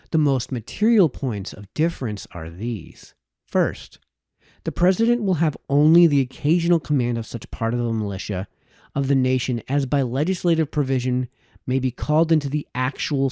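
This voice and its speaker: A man reading a formal historical text aloud as scripted narration, in measured, formal phrasing. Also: mid-range voice, dark tone, no echo, studio quality. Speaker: audiobook reader.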